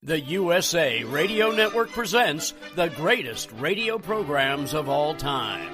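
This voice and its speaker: Slow male announcer over music. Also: fairly high pitch, big pitch swings. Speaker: show intro announcer. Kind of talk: scripted radio intro voice-over.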